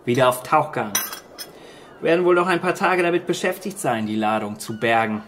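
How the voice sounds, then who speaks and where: An actor playing a wry island scientist in a naturalistic radio drama, laconic and factual. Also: male voice, medium pitch, expressive, normal speed, fairly bright tone, a little hiss or hum, natural voice. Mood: dry, teasing. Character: dry, wry male island marine scientist.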